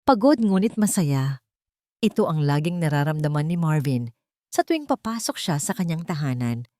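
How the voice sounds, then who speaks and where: A woman storyteller reads a scripted drama. Her voice sits low, and the sound is dry and close, with hardly any room around it. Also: big pitch swings, normal speed, warm tone, no room sound. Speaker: story narrator. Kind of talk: scripted dramatic narration of a Tagalog romance story.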